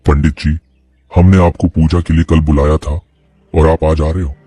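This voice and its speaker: A man's voice: a bass voice, level tone, normal speed, mellow, no echo. A man voicing an indignant village householder in a cartoon who accuses a priest. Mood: reproachful. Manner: reproachful, accusing. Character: indignant middle-aged male householder. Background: faint music.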